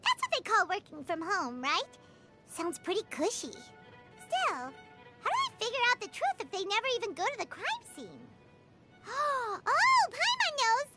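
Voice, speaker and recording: A woman voicing a small, excitable, naive childlike girl companion in a video game, squeaky and theatrical in her delivery. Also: unhurried, full character voice. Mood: curious, musing. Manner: refers to herself in third person, exclaims theatrically. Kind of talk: video game character voice acting. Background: background music.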